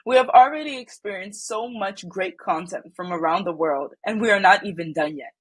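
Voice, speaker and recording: A woman's voice: mid-range voice, normal speed, husky, no room sound. A woman hosting a show in a cheerful, chatty scripted style. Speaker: show host.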